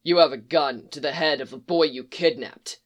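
A woman reading a story aloud, voicing a stern, suspicious male crime-fighter with a deep, clipped delivery. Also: normal speed, natural voice.

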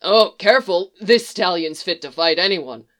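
A woman's voice: lowish voice, moderate pace, close to an everyday voice. A woman voicing a bearded, folksy horse seller pushing his stock. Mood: salesy. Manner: salesy, folksy, hesitant. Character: bearded middle-aged male stable seller, folksy.

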